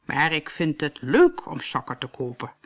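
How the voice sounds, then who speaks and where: A woman's voice: low voice, wildly swooping pitch, normal speed, slightly rough, voice pushed down, full character voice. A woman voicing a whiny, childish storybook king who complains dramatically. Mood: sulky.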